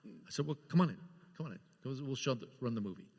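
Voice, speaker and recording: A man's voice: mid-range voice, lively intonation, fast, a little mellow. Man spinning anecdotes in an echoey hall. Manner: acts out dialogue within his stories. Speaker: guest film-maker sharing production memories. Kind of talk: casual anecdotal storytelling. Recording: echoey hall with long reverb.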